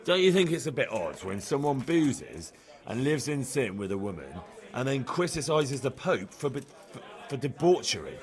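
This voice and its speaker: Inquisitive, wry young commoner. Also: male voice, medium pitch, very animated, moderate pace. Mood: curious. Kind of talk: video game cutscene acting.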